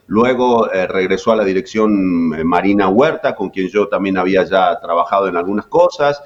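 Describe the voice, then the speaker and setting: A man's voice: deep voice, normal speed, muffled recording. A man in his forties with an Argentine accent, telling stories as a guest in an interview. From Jujuy, Argentina. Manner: chatty, many fillers. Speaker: voice actor guest. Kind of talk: relaxed interview storytelling.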